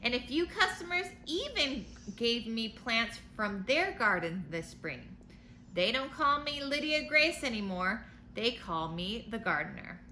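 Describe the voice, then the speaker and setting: An eager young girl from a storybook, read aloud by a woman, sharing her letters home. The voice is fairly high and bright, with very lively, earnest expression. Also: heavily acted. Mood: proud. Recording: noticeable background noise.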